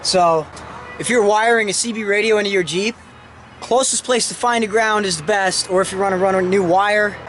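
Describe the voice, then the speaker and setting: A man with a notably high-pitched voice talks through a do-it-yourself car job in an informal, hands-on way. He sounds like he is working as he speaks, recorded inside a vehicle with some background noise. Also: fairly even intonation, moderate pace, fairly bright tone. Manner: informal, talking while working with his hands. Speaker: DIY car installation vlogger. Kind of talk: casual hands-on how-to narration. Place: inside a Jeep, handheld camera.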